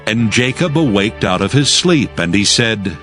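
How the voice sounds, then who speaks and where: A man's voice: on the low side, very animated, normal speed, a bit bright. A man narrating scripture formally as a storyteller from a script. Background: music.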